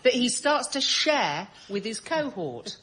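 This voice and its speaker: A woman's voice: on the high side, big pitch swings, slow, a bit bright. A woman telling a comic idea as a panellist, rambling and laughing as she talks. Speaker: comedy panellist. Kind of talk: conversational comic storytelling. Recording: audience and faint noise behind. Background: studio audience.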